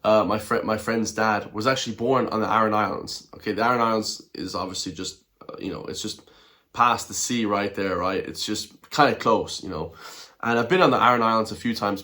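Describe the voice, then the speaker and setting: An Irish man with a low voice talks casually over a video, excitable and blunt, with the odd mild swear. He turns to his viewers often and calls them lads and guys. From Ireland. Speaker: reaction video host. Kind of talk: casual reaction commentary.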